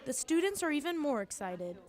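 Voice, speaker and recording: High-pitched young woman reporting news. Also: big pitch swings, a little fast, darkish tone. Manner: formal broadcast delivery.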